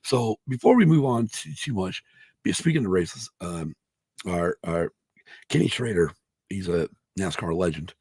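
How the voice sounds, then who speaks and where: A man co-hosting a casual podcast, hoarse from allergies, who rambles, restarts and swears a lot. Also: low-pitched, animated, unhurried, a little mellow, dry recording. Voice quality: gravelly, husky. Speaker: podcast co-host. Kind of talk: casual chat.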